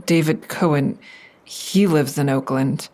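An actress playing an anxious, hesitant woman making a halting call for help in an audio drama. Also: sits low, darkish tone. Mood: pleading, frustrated. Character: anxious, hesitant woman reporting a stalking ex-boyfriend. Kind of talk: audio drama acting, naturalistic phone dialogue.

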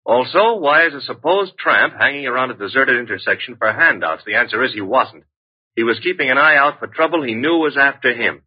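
An actor playing a street-smart, wisecracking detective's assistant in an old radio mystery, breezy and slangy. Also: male voice, mid-range voice, a little fast, breathy edge, natural voice. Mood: puzzled. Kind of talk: 1940s-50s radio mystery acting. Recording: dull vintage radio recording.

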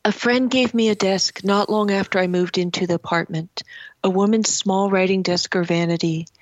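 A woman's voice: medium pitch, steady tone, moderate pace, dry recording. A middle-aged woman speaking as a writer in a reflective literary interview, articulate, building long lists of phrases. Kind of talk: reflective literary interview, reading from own memoir.

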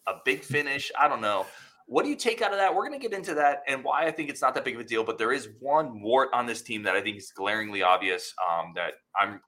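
A man hosting a casual sports podcast, his voice gravelly and hoarse from a cold, talking in a loose, conversational way. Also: mid-range voice. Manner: relaxed, conversational, reads ads loosely with fillers. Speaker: podcast host.

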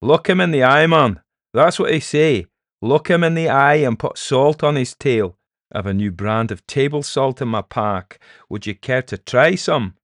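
A man's voice: mid-range voice, a little slow, a bit bright, no room sound, clean studio sound, voice pushed up. A man voicing a small, teasing peddler in a storybook tale, jaunty and taunting. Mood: cheeky, teasing. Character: little Scottish peddler man, cheeky, teasing, grinning sceptic. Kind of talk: storybook character voice in a narrated tale.

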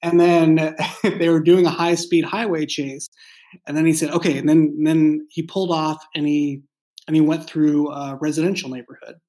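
A man speaking casually in an interview as a writer, thoughtful and halting, often repeating words as he goes. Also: on the high side, little pitch movement, warm tone. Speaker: writer and creator of an audio drama. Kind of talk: casual behind-the-scenes interview.

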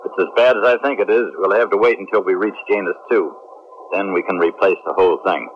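A man playing a blunt, wry, emotional space captain in a science fiction radio drama, with an expressive, informal delivery. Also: low voice, quick pace, close to an everyday voice. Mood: worried, regretful. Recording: muffled, noisy 1970s radio recording.

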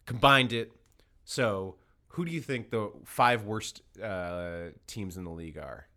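A man's voice: on the low side, measured pace, bright, forceful tone. A man hosting a podcast in casual sports banter, speaking in a blunt, teasing and opinionated way. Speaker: podcast host.

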